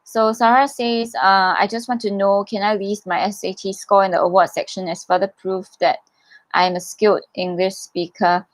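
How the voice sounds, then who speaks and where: A young woman chats through a livestream Q&A in a mid-pitched voice, reading out viewer questions and answering them with encouragement. She talks casually and fills the gaps with "um"s and restarts. The sound is close and dry, with no room echo. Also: fairly even intonation, moderate pace. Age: young adult. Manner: chatty and encouraging, many fillers and self-corrections.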